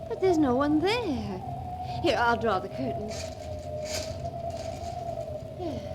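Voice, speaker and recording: An actress playing a devoted, anxious young niece in a 1940s radio horror drama, earnest and melodramatic. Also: female voice, on the high side, sing-song, slow. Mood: gently reassuring. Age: young adult. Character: devoted, anxious young niece soothing her troubled uncle. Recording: noisy old-time radio recording.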